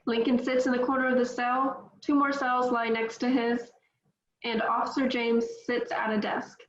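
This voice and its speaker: Woman, script narration, higher pitch, table read, roomy echo, slightly muffled recording. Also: little pitch movement, normal speed, a little hiss or hum. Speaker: stage-direction narrator.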